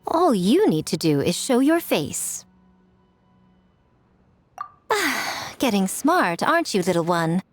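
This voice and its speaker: A woman's voice: medium pitch, big pitch swings, slow, long pauses, soft background music. A woman voicing a sly, elegant fox-spirit shrine maiden in a video game, coy, smug and playful in a polished manner. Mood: coaxing, teasing.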